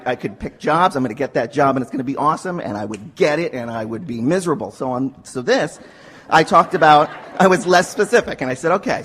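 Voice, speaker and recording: Man, raspy and fairly high-pitched, workshop participant, animated informal storyteller who stumbles and acts out quotes. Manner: animated, halting, repeats words, acts out quotes. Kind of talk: informal personal storytelling before an audience.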